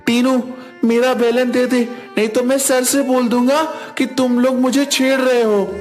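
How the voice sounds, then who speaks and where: A man's voice: very high voice, steady tone, unhurried, darkish tone, faint music behind. A man voicing an earnest, complaining young schoolboy in a cartoon. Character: tattling, teasing young schoolboys and a gentle food-loving boy. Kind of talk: Hindi cartoon dialogue.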